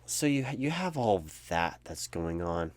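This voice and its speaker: A man doing a conversational ASMR roleplay as an apathetic, low-energy recruiter, rambling in a jaded, sardonic way. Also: big pitch swings, moderate pace, dry recording. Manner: rambling, sardonic asides mimicking corporate talk.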